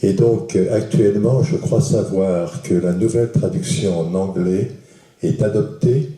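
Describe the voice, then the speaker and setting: Low, dark-voiced man in an echoey hall. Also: distant mic. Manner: measured, informative remark. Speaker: audience member. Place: attic lecture hall in a school.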